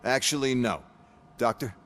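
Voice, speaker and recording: An actor playing an abrasive, short-tempered corporate boss in a video game, sarcastic and taunting. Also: male voice, medium pitch, normal speed, fairly bright tone, close to an everyday voice.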